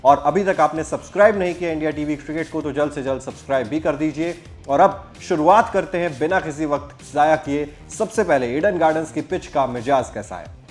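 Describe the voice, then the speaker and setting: A young man presenting a scripted cricket match preview to camera from a studio, in a brisk, fluent news style. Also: a little high, faint music behind. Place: studio with virtual backdrop.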